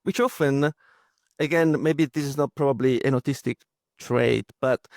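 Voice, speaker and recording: Halting Italian-accented man, dry sound. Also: mid-range voice, vocal fry, a little mellow, no echo. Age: 30s. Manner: halting, many fillers and restarts. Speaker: guest. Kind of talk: casual interview talk.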